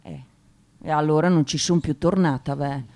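A woman's voice: deep voice, normal speed, dark tone, lightly acted. An actress playing a shy, pious eighteen-year-old provincial girl with a hesitant, stammering, naive way of talking in a radio drama. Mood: amused, animated. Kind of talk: radio drama interview monologue.

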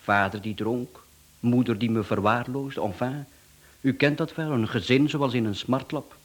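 A man acts out a mysterious male caller in a radio drama, with a Flemish accent and a husky voice. He is down on his luck, courteous yet evasive, and keeps insisting on being heard. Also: mid-range voice, moderate pace, close to an everyday voice. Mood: bitter, insistent. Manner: polite but evasive, insistent. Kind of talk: radio play acting.

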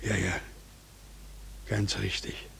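This is a world-weary harbour official in a 1940s radio play. The voice is lowish, very rough and creaky, delivered slowly with sardonic, muttering deliberation. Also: male voice, level tone, fairly bright tone, a little hiss or hum, exaggerated voice. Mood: wry, musing. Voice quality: gravelly, very husky.

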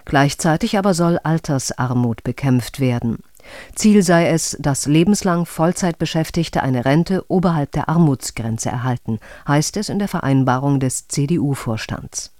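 Formal radio newsreader. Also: male voice, a little high, dry recording, studio quality. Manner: measured, formal, even. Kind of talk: radio news bulletin.

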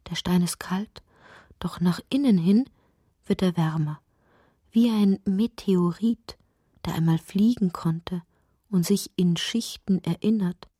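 A woman narrating a literary audio drama in a breathy voice that often drops toward a whisper, reciting deliberately and poetically. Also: on the low side, dark tone, no room sound, clean studio sound.

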